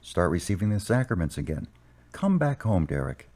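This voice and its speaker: A man as a deep-voiced, patient parish priest. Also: big pitch swings, darkish tone. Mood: earnest, encouraging. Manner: measured, counselling, formally worded. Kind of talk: amateur audio drama acting.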